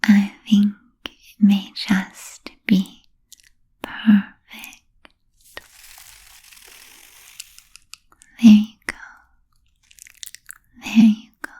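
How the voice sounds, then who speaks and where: A vain, seductive vampire played by a woman whispers in an intimate ASMR roleplay. The voice is extremely breathy and mellow, with very slow, coaxing phrases and lingering pauses. The delivery stays gentle and politely teasing throughout. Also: medium pitch, flat delivery, heavily acted. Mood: soothing, inviting.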